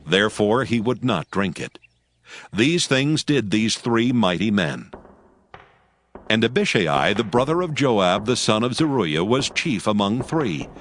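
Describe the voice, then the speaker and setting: A man narrating a dramatized scripture as scripted audio, with solemn, measured storytelling in archaic phrasing. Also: lowish voice, big pitch swings, fairly bright tone. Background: music.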